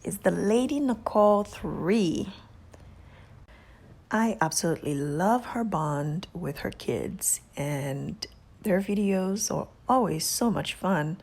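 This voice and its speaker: A woman with a Haitian accent hosting a casual vlog, giving gushing shout-outs with drawn-out emphasis and soft whispery asides. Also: on the low side, lively intonation. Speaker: beauty channel host.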